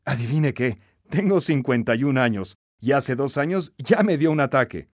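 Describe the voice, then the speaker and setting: A man voicing a defensive, hot-tempered waiter in his fifties in an audiobook anecdote, loud and exclamatory. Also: medium pitch, expressive, normal speed, dry recording, clean studio sound, voice pushed up, natural voice. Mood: defensive, irritated. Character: hot-tempered Italian waiter of fifty-one, resigned to his nature.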